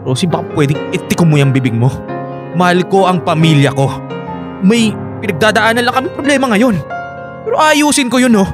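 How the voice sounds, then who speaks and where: A man's voice: high voice, fairly quick, music in the background, natural voice. A male actor playing a troubled, defensive unfaithful young husband in a radio drama, both narrating and in dialogue. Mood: defensive, annoyed. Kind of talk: Filipino radio drama acting and first-person narration.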